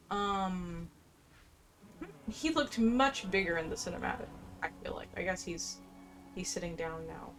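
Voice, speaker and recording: A woman's voice: lowish voice, slight background noise. A woman doing casual gameplay commentary, chatty and musing as she plays and reads quest text aloud. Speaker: gameplay streamer. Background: faint game music.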